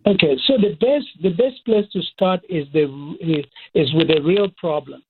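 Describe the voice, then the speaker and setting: A man with a Cameroonian accent explaining things as an expert interview guest, deliberately, listing points in short phrases. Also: fairly high pitch, animated, a little hiss or hum. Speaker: expert guest, professor and AI researcher. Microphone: phone call. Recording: muffled, thin phone line.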